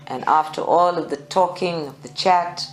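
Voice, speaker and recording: Woman, lowish voice, meditation guide, measured instructing phrases, long pauses, roomy echo. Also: slight background noise. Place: live group session indoors at a creativity centre. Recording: echoey room, music behind. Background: meditation music.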